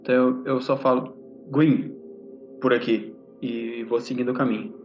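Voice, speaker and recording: A man's voice: medium pitch, flat delivery, normal speed, lo-fi sound. A man playing a tabletop game, speaking in a hesitant, questioning way. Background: background music.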